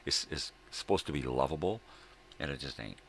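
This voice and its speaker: An extremely low-voiced, gravelly middle-aged man talks casually to his vlog audience. He meanders, stops and restarts, repeats phrases and grumbles his opinions with the odd swear word. There is clear noise behind him. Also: expressive, fairly bright tone. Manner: halting, meandering, repeats himself. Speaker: host of a daily morning video log. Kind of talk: rambling casual solo chat. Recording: noticeable background noise.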